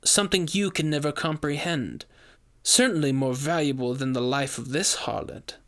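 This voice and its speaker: A man's voice: on the high side, normal speed, fairly bright tone. A man voicing a cruel, commanding witch in an audio story, sickly sweet with menace. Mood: scornful. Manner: sickly sweet veil over shrieking menace.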